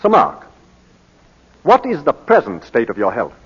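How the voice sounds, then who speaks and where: An actor playing a formal, courteous British barrister examining his client, with measured oratory in 1940s radio drama style. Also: medium pitch, wildly swooping pitch, slightly rough, heavily acted. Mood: measured, probing. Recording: muffled vintage 1940s radio broadcast.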